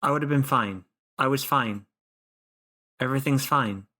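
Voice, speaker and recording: A man's voice: mid-range voice, moderate pace, bright, forceful tone. A man playing a tabletop game, rambling and flustered as his character makes excuses. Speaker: player. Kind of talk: casual tabletop play in character.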